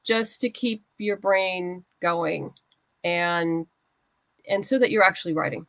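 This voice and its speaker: A woman's voice: on the low side, takes it slowly, a bit bright, no echo. A woman writer chatting as an interview guest, candid and conversational, explaining things in loose fragments. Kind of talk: casual interview chat.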